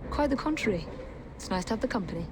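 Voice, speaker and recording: An actress playing a sensible, measured young British assassin in a video game. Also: female voice, medium pitch, animated, noisy background, close to an everyday voice. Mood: friendly, curious. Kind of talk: video game cutscene acting.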